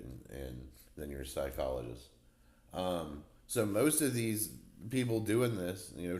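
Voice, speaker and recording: A man's voice: extremely low pitch, darkish tone. A man in his forties hosting a casual podcast chat, talkative and slangy, laughing easily. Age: 40s. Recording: roomy echo.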